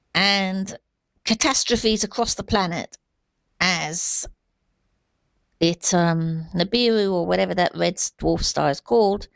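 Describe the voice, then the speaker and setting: Woman, gravelly fry, dry close sound, halting earnest monologue. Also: mid-range voice, dry recording. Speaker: amateur video commentator. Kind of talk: unscripted opinion monologue.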